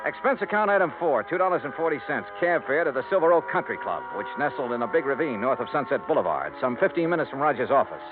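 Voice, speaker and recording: Laconic hardboiled investigator, dry and sardonic, an actor; tinny old radio with music. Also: male voice, mid-range voice, very animated, fast, hardly any pauses, lightly acted. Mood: wry. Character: wry hardboiled male insurance investigator. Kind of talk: 1950s radio drama narration and dialogue.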